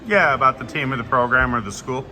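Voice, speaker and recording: A man interviewing a basketball recruit, asking brisk, direct questions. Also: medium pitch, bright, forceful tone, a little hiss or hum.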